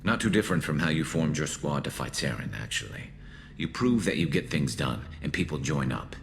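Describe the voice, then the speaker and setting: Grim alien vigilante, extremely low voice, gravelly, flanged metallic filter, dry brooding delivery, video game, background ambience. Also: male voice, sing-song, fairly quick, a little hiss or hum, heavily acted. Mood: weary, reflective. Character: grim alien mercenary vigilante, male, haunted and vengeful. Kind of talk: video game dialogue acting.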